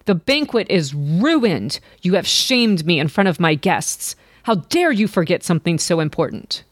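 A woman's voice: fairly low pitch, big pitch swings, unhurried, slightly rough, no echo, studio quality, exaggerated voice. A woman voicing a proud, hot-tempered old king in a storybook reading, with a commanding, roaring manner. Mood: angry.

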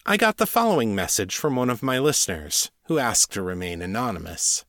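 A man presents his podcast update with very animated intonation. He speaks articulately and earnestly at a middling pitch, as an author addressing his listeners. The sound is close and dry. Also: fairly quick, no echo. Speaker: podcast host and fiction author. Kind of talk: scripted solo podcast update.

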